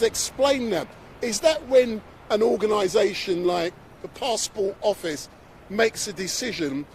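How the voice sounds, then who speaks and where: A man with a notably high voice runs a street livestream outdoors, briskly prompting and interrupting his guest. Faint traffic hum sits under his voice. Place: outdoors on a city street outside a court. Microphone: handheld phone livestream.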